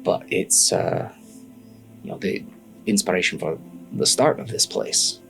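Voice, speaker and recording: Man playing low-voiced, hesitant scholar. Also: gravelly, fairly bright tone, faint music behind, full character voice, poor audio. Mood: confiding, careful. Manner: halting, hedging, folksy. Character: hesitant, secretive male scholar keeping family work.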